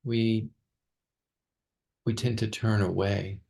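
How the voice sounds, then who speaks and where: A man guides a meditation in a low voice with a lot of vocal fry. His delivery is very slow and halting, with long gaps between phrases and words repeated as he goes. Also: flat delivery. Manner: halting, with long pauses and soft repetitions. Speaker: meditation teacher.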